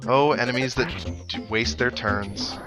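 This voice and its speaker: A man's voice: mid-range voice, measured pace. A young man giving casual, rambling, self-deprecating gameplay commentary with video game music behind him. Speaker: video game let's player.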